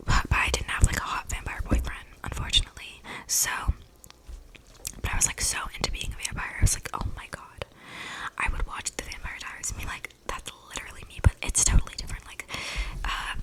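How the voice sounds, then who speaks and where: A woman's voice: natural voice, poor audio. A woman playing an eccentric, chatty young vampire in a whispered, slangy ASMR roleplay, breathing close to the microphone. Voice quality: breathy whisper with creaky edges. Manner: rambling, casual slangy delivery with many likes. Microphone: close microphone with audible breathing.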